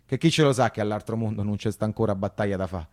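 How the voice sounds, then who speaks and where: A man's voice: lowish voice, fast, a little mellow, lightly acted. An actor playing an anguished working-class Roman man who recounts wartime life in a theatrical, colloquial monologue full of insistent repetition. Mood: subdued, mournful. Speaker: stage storyteller and actor. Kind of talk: theatrical first-person monologue for radio theatre.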